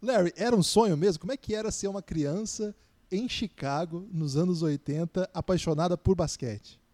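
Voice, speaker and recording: A man's voice: sits high, moderate pace, darkish tone. A man with a Brazilian accent hosting a casual sports podcast. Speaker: podcast host.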